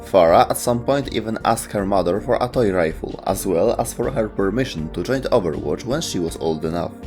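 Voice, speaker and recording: A man with a fairly low voice delivers scripted narration for a gaming video. He speaks as the host and narrator, with faint music running underneath. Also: expressive, brisk, a little mellow. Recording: music behind the voice. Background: background music.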